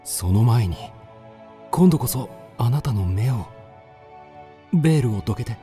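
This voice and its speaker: An actor playing an earnest, courteous Japanese suitor, speaking politely and intensely in a radio drama. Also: male voice, medium pitch, lively intonation, measured pace, warm tone, faint music behind, lightly acted. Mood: tender, intense.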